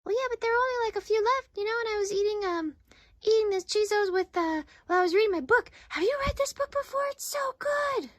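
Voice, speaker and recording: A woman voicing an excitable, childlike male puppet in a children's skit, bubbly and given to repeating phrases. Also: very high-pitched, fast talker, dry recording, studio quality. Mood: chirpy, eager.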